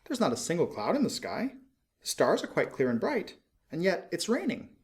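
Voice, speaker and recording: Man voicing a brisk, high-pitched little swallow. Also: huge pitch swings. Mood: peevish. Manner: blunt, self-assured, fussy. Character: small male swallow, vain, brisk, fickle but polite. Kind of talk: storybook character voice.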